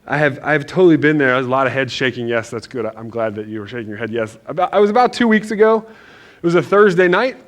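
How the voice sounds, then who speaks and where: A man from Northern Virginia preaching in a church, telling a story in a loose, animated, self-deprecating way with lots of restarts. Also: mid-range voice, quick pace. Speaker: pastor. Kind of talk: casual storytelling sermon.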